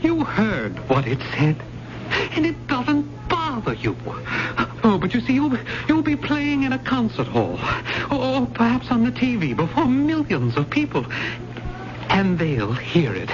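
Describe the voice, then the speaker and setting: Jittery, excitable genteel professor. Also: male voice, extremely high pitch, heavily acted. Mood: desperate, frantic. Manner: halting, stammering, fussily polite. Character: agitated, genteel male poetry professor, obsessive and nervous. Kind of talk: old-time radio drama acting. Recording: muffled, noisy old radio recording.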